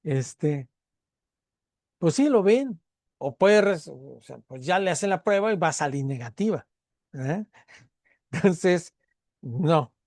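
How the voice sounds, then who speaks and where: A man's voice: a little high, expressive, takes it slowly, pauses often, a little mellow, no room sound. A man with a Mexican Spanish accent giving a university lecture in a conversational way, acting out patient dialogues and checking in with students. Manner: conversational, acts out patient dialogues, frequent fillers and tag questions.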